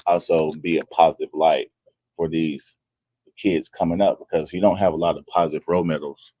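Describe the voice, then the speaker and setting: Male, 30s. Deep register, sincere and plain-spoken interview guest, dry sound. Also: steady tone, brisk, dark tone, no echo. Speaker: personal trainer, guest. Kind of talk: earnest conversational interview.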